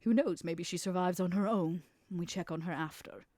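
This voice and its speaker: Vera, a low, shrewd vampire woman played by a roleplayer. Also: fairly quick, darkish tone, dry recording, clean studio sound, close to an everyday voice. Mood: cool resolve. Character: Vera, shrewd female vampire smuggler, coolly bargaining. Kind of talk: in-character tabletop roleplay.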